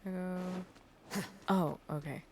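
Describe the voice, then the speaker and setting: A woman's voice: fairly low pitch, fairly even intonation, takes it slowly, dark tone, a little hiss or hum. A woman livestreaming a video game, chatting casually and reacting aloud as she plays. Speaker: game streamer. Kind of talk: casual livestream gameplay chat.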